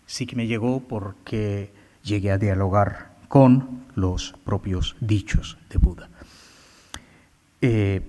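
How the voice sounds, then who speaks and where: Male poet, low-pitched, unhurried and halting, recorded with long hall reverb. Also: warm tone. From India. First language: Bengali. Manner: slow, halting, many filler sounds. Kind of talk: poetry reading with commentary.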